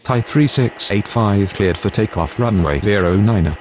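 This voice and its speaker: A man's voice: on the low side, normal speed, close to an everyday voice. A man playing a businesslike airport radio voice in clipped aviation phraseology for a game. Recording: very noisy game audio, loud vehicle ambience.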